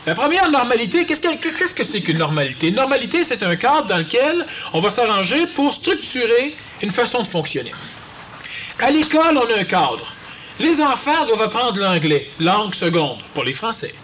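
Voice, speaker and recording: A man with a Quebec French accent giving a lively, conversational talk to an audience and acting out quoted voices. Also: extremely high pitch, moderate pace, a little hiss or hum. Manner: animated, acts out quoted voices. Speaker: lecturer.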